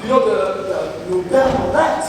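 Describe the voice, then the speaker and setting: Reverberant noisy hall, aggrieved Nigerian prosecutor, very high projected voice, pompous, sarcastic, satirical stage courtroom. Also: male voice, lively intonation, normal speed. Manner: protesting, pompous, emphatic. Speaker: stage actor. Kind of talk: projected theatrical courtroom argument. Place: large theatre stage, picked up from afar. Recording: far-off echoey hall recording, noisy.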